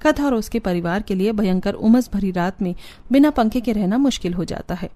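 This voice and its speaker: A woman narrates a scripted cartoon moral tale. Her pitch is medium and her tone is slightly mellow. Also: lively intonation, moderate pace, no echo. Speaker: story narrator.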